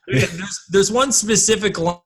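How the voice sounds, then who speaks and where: A man with a voice a bit above average in pitch talks casually as a guest on a podcast. His speech is informal and loose, full of "like" and casual swearing. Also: measured pace, breathy edge. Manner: swears casually, uses "like" heavily. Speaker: podcast guest, audio drama creator. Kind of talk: casual chat.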